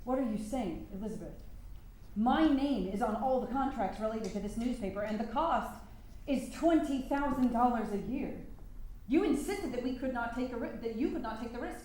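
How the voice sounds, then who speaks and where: An embattled nineteenth-century suffragist voiced by a woman speaks high, with pointed, formal emphasis. She is heard in a staged play reading, in a room with heavy echo. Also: animated, moderate pace, dark tone, natural voice. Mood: reproachful. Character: earnest, sharp-tongued, embattled 19th-century suffragist newspaper proprietress. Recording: very echoey room.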